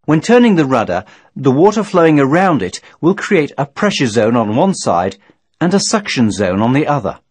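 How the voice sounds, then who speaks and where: A man reading scripted instructional narration in a measured, formal, didactic manner. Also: mid-range voice, husky, no room sound. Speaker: training video narrator.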